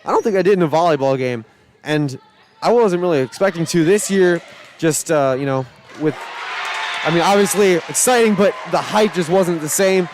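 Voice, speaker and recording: Hoarse-edged young man calling volleyball. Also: on the high side, moderate pace, a crowd in the background, noisy background. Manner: excited shouting calls over rallies. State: starting to lose his voice. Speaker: play-by-play announcer. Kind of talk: high school volleyball play-by-play.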